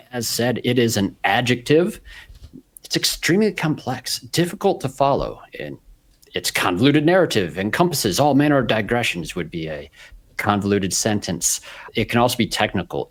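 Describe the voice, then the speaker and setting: A man's voice: mid-range voice, very animated, moderate pace. A man in his forties hosting a podcast, chatting casually and laughing often. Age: 40s. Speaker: podcast host. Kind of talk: casual panel chat and read ads.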